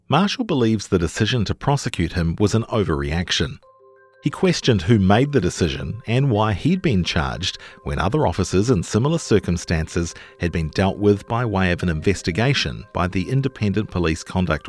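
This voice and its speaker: A man reading a long-form news feature in a measured, articulate scripted narration style. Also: low voice.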